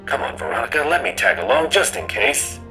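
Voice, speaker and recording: A man's voice: medium pitch, very animated, a little fast, bright, forceful tone, soft background music. A man playing a loyal, protective soldier in an audio drama, with an informal, coaxing way of talking. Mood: concerned, insistent. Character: loyal, protective, casual male soldier comrade.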